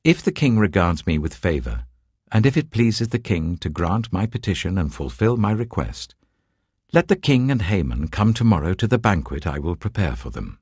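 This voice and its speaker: A British man voicing a brave, earnest queen in an audiobook, speaking formally and deliberately. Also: deep voice, sing-song, darkish tone, husky, no room sound, clean studio sound, heavily acted. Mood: courteous pleading. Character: brave, resolute young queen, earnest and courteous.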